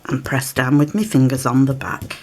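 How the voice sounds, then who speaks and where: Woman, low voice, has a cold, relaxed craft tutorial, light background hiss. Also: moderate pace. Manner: relaxed, chatty, explains while working. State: has a cold, occasional coughs. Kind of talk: step-by-step craft demonstration. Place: indoors at a craft table. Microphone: overhead camera on table, hands shown.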